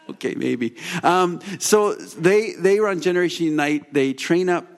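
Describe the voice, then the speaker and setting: A man's voice: sits high, animated, slow pace, creaky. A man hosting a church gathering, introducing guests in a chatty, rambling way with laughing asides.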